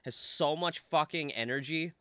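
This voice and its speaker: A young man co-hosting a casual comedy podcast, animated and joking, swearing freely, with a high, slightly nasal little-brother voice. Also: moderate pace, clean tone, no room sound, studio quality. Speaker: podcast co-host.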